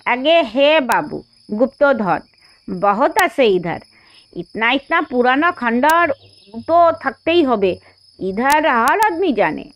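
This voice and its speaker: A woman's voice: high-pitched, big pitch swings, takes it slowly, heavily acted. A woman voicing an easygoing, knowing village man in an audio story, drawling in Hindi-influenced Bengali. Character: amused, easygoing local rustic man, folksy and knowing.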